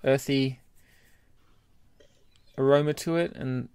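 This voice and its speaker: A man co-hosting a podcast, giving a measured, analytical take in casual review chat. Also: medium pitch, fairly even intonation, darkish tone, clear, resonant tone, dry recording. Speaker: podcast co-host.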